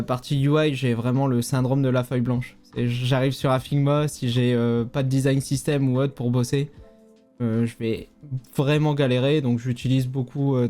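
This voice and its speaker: A man who works as a native mobile app developer, talking casually as a guest in an interview, relaxed and informal with frequent hesitations. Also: medium pitch. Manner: relaxed, informal, many fillers.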